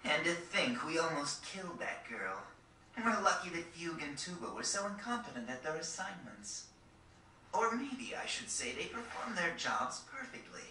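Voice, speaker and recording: Game villain: calculating commander, fairly high voice, very bright edge, measured and sardonic. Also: male voice, sing-song, exaggerated voice. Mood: cool, sardonic. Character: cold, calculating male villain, a scheming commander. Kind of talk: video game cutscene voice acting. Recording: roomy, distant, faintly noisy game capture.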